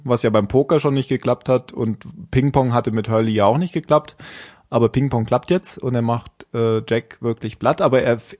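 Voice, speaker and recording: A man's voice: medium pitch, a little mellow. A man in casual podcast chat, walking through the story of an episode. He talks in a loose, meandering way, often stopping to fix what he just said. His delivery stays informal and unrehearsed. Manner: rambling, self-correcting, with frequent fillers and laughs. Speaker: podcast co-host.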